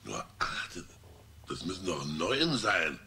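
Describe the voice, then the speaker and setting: An actor playing a hesitant gun shop owner in a German radio play, a gravelly man who counts under his breath. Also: low-pitched, sing-song, slow, sharp tone, slight background noise, heavily acted. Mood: puzzled.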